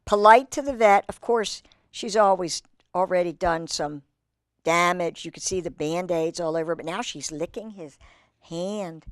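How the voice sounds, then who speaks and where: A woman's voice: lowish voice, dry recording, clean studio sound. Woman reading a picture book to children. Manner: expressive, drawn-out emphasis on read words, conversational asides to young listeners. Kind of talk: children's storytime read-aloud with chatty asides.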